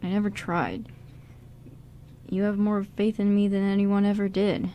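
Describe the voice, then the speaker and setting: A woman's voice: medium pitch, flat delivery, measured pace, dull audio, lightly acted. A woman playing a guarded, self-doubting male con artist in an amateur radio drama, speaking casually and a little hesitantly. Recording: background noise in amateur radio recording.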